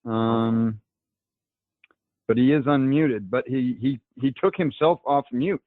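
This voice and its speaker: A man hosting a video call, giving brisk and direct instructions. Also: mid-range voice, dry recording. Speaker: call host. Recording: dry tinny phone-like line.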